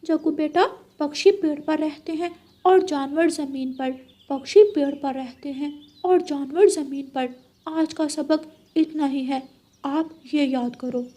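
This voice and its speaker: A woman's voice: sits high, fairly even intonation, a little slow, dark tone, voice pushed up. A woman voicing a stern but helpful adult male parrot teacher in a cartoon story, firm and instructive. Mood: teaching.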